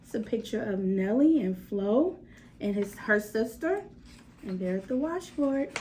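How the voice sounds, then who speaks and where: A woman reading a picture book aloud to children in an expressive way, stretching words for emphasis and adding asides to her young listeners. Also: mid-range voice, measured pace, slight background noise. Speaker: read-aloud storyteller for children.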